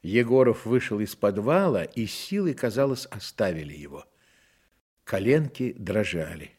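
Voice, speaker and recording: An actor narrating a Soviet radio drama as an earnest literary storyteller. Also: male voice, on the low side, sing-song, normal speed, darkish tone, slightly rough. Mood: earnest, dramatic. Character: earnest storyteller of a young man's trials.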